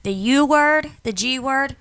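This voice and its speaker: Lady on a radio panel, bit high pitched, plain talking, casual chat. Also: measured pace, fairly bright tone, dry recording. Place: radio studio.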